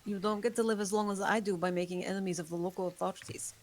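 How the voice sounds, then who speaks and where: A woman reading an ancient, hypnotic female vampire at a table read, calm and soft-spoken. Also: medium pitch, fast, slight background noise, close to an everyday voice. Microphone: online voice call.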